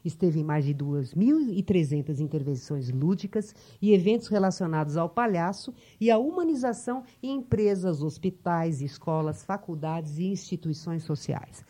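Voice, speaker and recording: A woman's voice: on the low side, moderate pace, hardly any pauses, dark tone. A woman hosting an interview, reading the guest's background and chatting with him, often tagging "né?". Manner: chatty, frequent tag "né?". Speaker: interview host.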